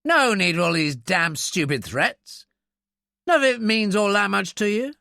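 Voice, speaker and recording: A man's voice: sits high, wildly swooping pitch, slow pace, pushed and bright, no echo, studio quality, voice pushed up, full character voice. A man voicing a blustering, indignant military officer in an audiobook, with a pompous, sneering, emphatic manner. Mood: sullen bluster.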